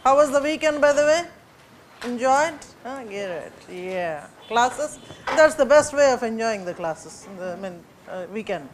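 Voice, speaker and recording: A woman's voice: on the high side, animated, a little slow, slight background noise, poor audio. A young woman with an Indian accent, giving a classroom lecture in a rambling way full of fillers and restarts.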